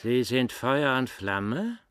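An actor playing a dry, weary confidant in a radio drama, with a husky voice. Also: male voice, mid-range voice, big pitch swings, slow pace, a bit bright, no room sound. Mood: dry, sceptical.

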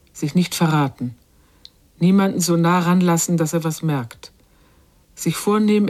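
An actress playing a grave, unsparing narrator in a literary radio drama, speaking in measured, incantatory short phrases. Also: female voice, fairly low pitch, a little mellow. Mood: grave, insistent. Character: female narrator of a child's inner torment, grave, unsparing.

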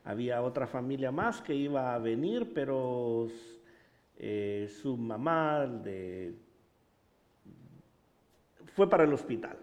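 A man from Honduras preaching in Spanish with a Honduran accent, pausing for an interpreter and telling stories with animated humor. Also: medium pitch. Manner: animated storytelling, laughs at himself. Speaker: pastor. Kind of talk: bilingual sermon with interpreter.

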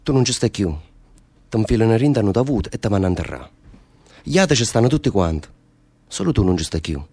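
A man's voice: on the low side, very animated, fast talker, long pauses, darkish tone, breathy edge, exaggerated voice. An actor playing a brooding, fatalistic man facing death, speaking earthy, insistent dialect with a southern Italian accent in a theatre drama. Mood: bitter, mournful. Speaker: stage actor in a radio theatre play.

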